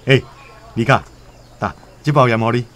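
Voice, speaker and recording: A Taiwanese-accented actor playing a curious, cagey villager in a radio drama, speaking in an inquisitive, hesitant way. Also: male voice, mid-range voice, fairly even intonation, moderate pace, fairly bright tone, slight background noise, close to an everyday voice. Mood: friendly, curious. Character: curious, cagey male villager sounding out news.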